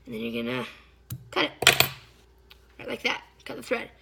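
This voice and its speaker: A slightly hoarse woman giving a casual hands-on fly-tying tutorial, chatty and often stopping to search for a word. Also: on the high side, little pitch movement, normal speed, heavy background noise. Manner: chatty, stops to search for words.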